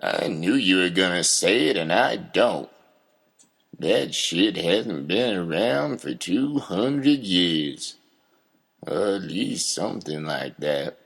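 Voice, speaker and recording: Man as a bitter, husky, gravelly old pa. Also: sits low, big pitch swings, slow pace, fairly bright tone, exaggerated voice. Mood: grumpy. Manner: grumbling, accusatory. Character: bitter, scolding older father called Pa. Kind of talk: surreal comic audio drama acting.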